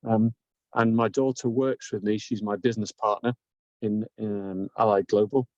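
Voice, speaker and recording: Man, northern English accent, fifties, low voice, dull dry call audio. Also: fairly even intonation, normal speed, no echo. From northern England. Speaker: business owner, guest. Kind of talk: video call introduction. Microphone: video call.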